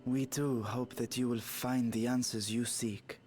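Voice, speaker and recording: A serene, courtly dragon king from a fantasy video game played by a man speaks with measured formality and benevolence. Soft music plays behind the voice. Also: mid-range voice, steady tone, close to an everyday voice. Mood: gracious. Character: serene male dragon ruler in a humanlike vessel, courtly and benevolent. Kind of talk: scripted video game dialogue.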